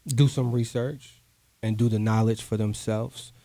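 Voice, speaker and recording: A man's voice: lowish voice, fairly even intonation, unhurried, vocal fry, mellow, dry recording. A man, talking earnestly in a casual interview, often repeating himself for emphasis. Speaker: guest artist.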